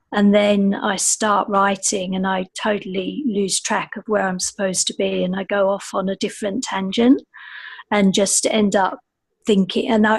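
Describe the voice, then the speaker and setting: A woman speaking as a guest author in a casual panel interview, piecing her answers together in a thoughtful, halting way. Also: mid-range voice, slightly rough, low-quality recording.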